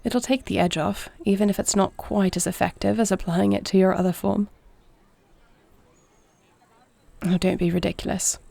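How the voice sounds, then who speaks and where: A woman playing a duty-bound warrior queen and tender wife in an intimate audio roleplay, speaking in a measured, earnest, formal way. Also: mid-range voice, fairly even intonation, vocal fry, warm tone, dry recording. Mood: caring, firm. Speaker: voice actor.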